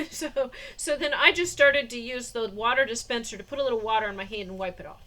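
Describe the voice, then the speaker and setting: A woman's voice: on the high side, brisk, sharp tone, poor audio. A woman in her forties talking in a casual diary vlog, rambling with many fillers and frequent laughs, recorded indoors on a phone or camera mic. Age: 40s. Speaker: vlogger.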